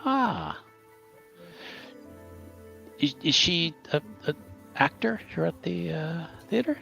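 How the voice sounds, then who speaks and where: Man playing a courteous adventurer with gravelly voice. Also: fairly high pitch, big pitch swings, sharp tone, voice pushed up, exaggerated voice. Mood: polite, curious. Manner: halting, courteous. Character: polite, hesitant gentlemanly adventurer man. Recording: muffled mic, music behind.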